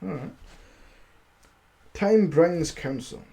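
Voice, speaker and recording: A man reads stories aloud on a livestream in an amateur, halting way. His voice is soft-edged and slightly high, with a slow pace and a self-deprecating manner. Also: lively intonation, vocal fry. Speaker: streamer reading stories aloud. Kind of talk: amateur live storytelling with casual asides.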